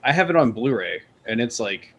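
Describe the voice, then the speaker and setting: A man's voice: medium pitch, expressive, a little fast, fairly bright tone, slight background noise. Laid-back man telling chat stories. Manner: laid-back, says "dude", laughs while telling stories. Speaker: podcast co-host. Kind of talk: casual storytelling in chat.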